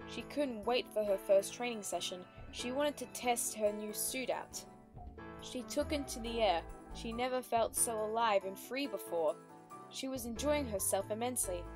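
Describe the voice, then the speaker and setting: A woman reading scripted story narration as the narrator of a dramatic reading. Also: mid-range voice. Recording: music under the voice.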